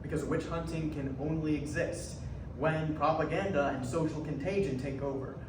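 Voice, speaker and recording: A man's voice: on the high side, moderate pace. A young man delivering scripted stage narration as a readers theatre performer. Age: young adult. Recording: echoey hall from far off, noisy.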